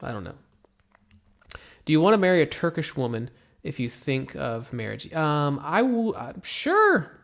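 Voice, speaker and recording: Young American teacher from Florida, laid-back Q&A delivery, a little fry in the voice. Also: male voice, fairly high pitch, unhurried. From Florida, USA. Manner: relaxed, rambling, many ums and restarts. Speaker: English teacher answering viewer questions. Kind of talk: casual Q&A, reading comments aloud.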